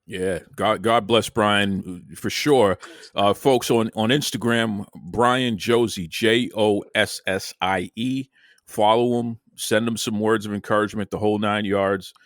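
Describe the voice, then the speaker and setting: A man with a lowish voice hosts in a loose, folksy way, often repeating words and calling the listener "man." His voice sits close to the mic in a dry sound with no room echo. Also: steady tone, measured pace. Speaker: podcast host. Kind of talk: casual chat.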